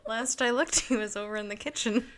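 A woman co-hosting a casual podcast chat, telling animated stories, acting out what she says and laughing a lot. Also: on the high side, normal speed. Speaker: podcast co-host.

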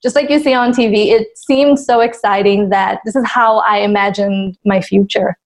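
A woman's voice: medium pitch, fairly even intonation, normal speed. A woman from Israel, a marketing executive, answering interview questions in a thoughtful, candid way.

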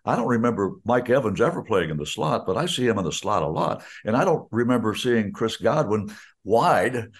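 A man's voice: fairly low pitch, very animated, normal speed, breathy edge. An older man, a veteran radio announcer, chatting in a folksy, enthusiastic way and often restarting his sentences. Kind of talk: casual chat on a radio show.

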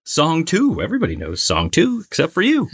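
Lively man hosting a playful trivia game. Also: a little high, slightly rough, no echo. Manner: playful, mock game-show announcing. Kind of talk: casual bantering quiz chat.

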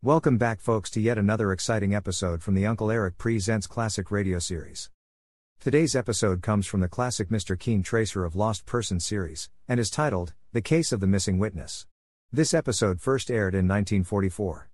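Low-voiced American man in a dry studio. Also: a little fast, a little mellow, no room sound. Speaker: podcast host. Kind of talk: scripted narration, episode introduction.

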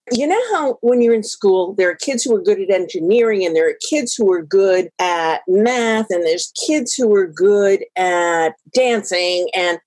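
A woman sex educator as interview guest, giving opinions in an animated, playful way. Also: medium pitch, dry recording. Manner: animated, playful, acts out quoted voices.

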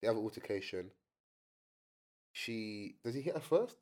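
A young man hosting a casual chat, telling animated stories with slang. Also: lowish voice, normal speed. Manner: animated storytelling, British slang. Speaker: host.

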